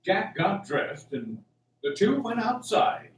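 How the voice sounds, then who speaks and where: A man's voice: sits high, big pitch swings, slow pace, a little hiss or hum. A man narrating a scripted radio drama with a dramatic storytelling delivery. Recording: roomy, distant mic, faint music.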